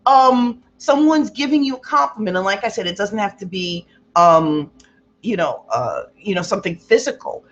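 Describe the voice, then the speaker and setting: A woman with a loud, carrying voice giving a rambling, casual self-help talk as a Reiki practitioner, acting out quotes with big exaggerated jumps in pitch. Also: animated, normal speed, dull audio. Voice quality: loud voice that carries. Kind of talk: casual motivational talk to viewers.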